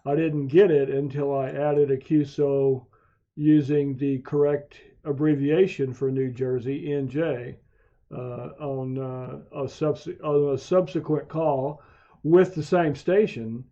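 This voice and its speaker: A man narrating a software tutorial as a hobbyist, explaining things in a methodical, measured way. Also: mid-range voice, fairly even intonation. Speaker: amateur radio hobbyist hosting video tutorials. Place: home radio shack. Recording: dull, muffled lo-fi voice track.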